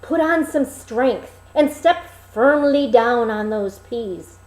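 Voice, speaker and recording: Resolute fairy-tale princess woman narrator acting, urgent and commanding, drawn-out swooping delivery. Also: fairly high pitch, lively intonation. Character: resolute young princess commanding her maidens. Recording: roomy, echoing room.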